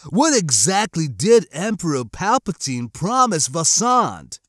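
A sly spider-like alien schemer played by a man drawls slowly in a swooping, higher-set voice. The creature is unctuous and theatrically sarcastic, all false flattery, in an audiobook with a dry, close sound. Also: dry recording, full character voice. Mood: mocking curiosity. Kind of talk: audiobook character acting.

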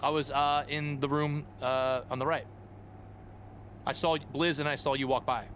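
A man's voice: a little high, little pitch movement, normal speed, fairly bright tone, slight background noise. A man chatting casually as a player in an online game. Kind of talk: casual chat.

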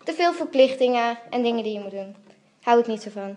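A woman's voice: a little high, animated, a little fast, a little mellow, lightly acted. A woman playing a brave, thrill-seeking princess in amateur radio drama, with a plain, casual delivery. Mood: casual, independent.

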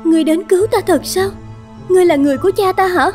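A woman voicing a gentle, wary young noblewoman held captive in a fairy-tale cartoon, polite and anxious. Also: extremely high pitch, rapid-fire, soft background music. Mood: wary, grateful.

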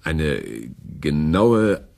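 A man's voice: a bass voice, extremely slow, darkish tone, heavily acted. A man playing an officious, placating radio station director in a radio play. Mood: uneasy, hesitant. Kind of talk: German radio play acting.

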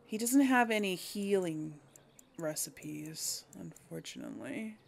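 Slow-paced woman musing over a game. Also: fairly low pitch, animated, gravelly, a little hiss or hum. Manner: musing aloud, hesitant deliberation. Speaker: let's play host. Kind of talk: casual gameplay commentary.

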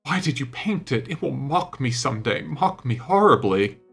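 A man voicing a petulant, melodramatic young gentleman in an audiobook, vain and wounded, speaking in an impassioned, plaintive way. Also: mid-range voice, very animated, moderate pace, vocal fry, slightly rough, soft background music, voice pushed up, heavily acted. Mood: jealous, despairing.

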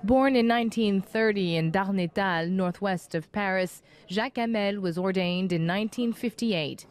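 A woman's voice: mid-range voice, moderate pace. A woman reporter reading scripted narration for a television news report.

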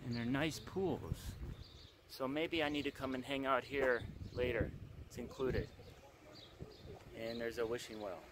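A middle-aged man talks easily to a handheld phone as he drives. The sound is close but carries steady car and road noise throughout, with outdoor stops in open air. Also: mid-range voice, measured pace, long pauses. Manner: relaxed, chatty narration to camera. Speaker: travel vlogger. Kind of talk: casual travel vlog. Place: inside a car while driving, also outdoors at stops. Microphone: phone camera at arm's length.

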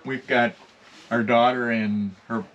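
A man's voice: medium pitch, steady tone, takes it slowly, a bit bright, lo-fi sound, a little hiss or hum. A middle-aged or older man talking casually and matter-of-factly as he shows his boat, recorded from a little way off. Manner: plain-spoken, matter-of-fact. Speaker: boat owner giving a tour. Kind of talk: casual chat. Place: sailboat, on deck and in small wooden cabin. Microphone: camera a couple of metres away.

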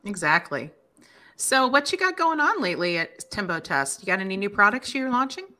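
A woman's voice: medium pitch, big pitch swings, pushed and bright. A middle-aged woman co-hosting an interview on a video call, chatty and friendly. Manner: friendly, chatty, quick to agree. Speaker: podcast co-host. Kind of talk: casual interview chat. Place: home office.

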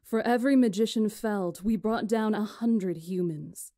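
A woman playing a stately magician in a visual novel, recounting history in a measured, formal, solemn way. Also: medium pitch, mellow, no echo, clean studio sound, close to an everyday voice. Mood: grave, formal. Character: stately female magician elder recounting ancient history to lords. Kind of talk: visual novel character acting, storytelling.

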